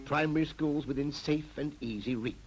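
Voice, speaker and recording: An actor playing a confident, persuasive town planner in a 1940s animated public information film, speaking in an emphatic, explanatory way. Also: male voice, fairly high pitch, normal speed, lightly acted. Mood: brisk, explanatory. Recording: old 1940s film soundtrack, music behind.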